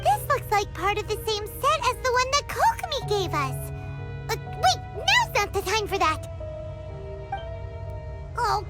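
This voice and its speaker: Tiny squeaky game fairy girl, super high voice, swoops everywhere, excitable n indignant, music behind. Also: heavily acted. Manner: indignant, refers to herself in third person. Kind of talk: English video game voice acting.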